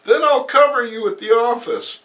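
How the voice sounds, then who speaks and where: A man's voice: very high voice, normal speed, a bit bright, voice pushed up. A man voicing a ruthless, gloating female criminal mastermind in a comic book reading, bossy and scornful. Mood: bossy conspiring.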